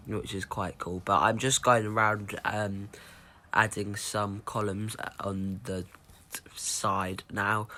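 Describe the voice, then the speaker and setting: This is a teenage boy narrating his own gameplay from home. He sounds croaky from a cold and sits a little low in pitch. He wanders from thought to thought, full of ums and restarts. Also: pushed and bright. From United Kingdom. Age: teens. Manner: rambling, lots of ums and restarts. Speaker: gaming YouTuber. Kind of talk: casual gameplay voice-over.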